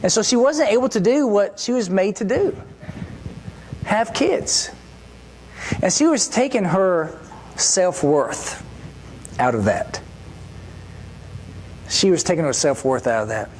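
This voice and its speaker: A mid-pitched preacher talks through a church sermon as if chatting with friends. The delivery keeps turning to the congregation with rhetorical questions. A faint hiss sits under the voice. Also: lively intonation, slow, long pauses, darkish tone, poor audio. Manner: conversational, full of rhetorical questions.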